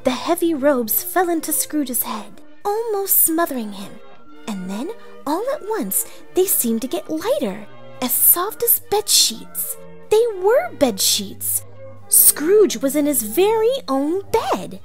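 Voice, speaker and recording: A woman playing an excitable storyteller, narrating a storybook read-along with theatrical drama. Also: high voice, slow pace, soft background music, exaggerated voice. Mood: joyful, excited. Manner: theatrical, hushed then exclaiming.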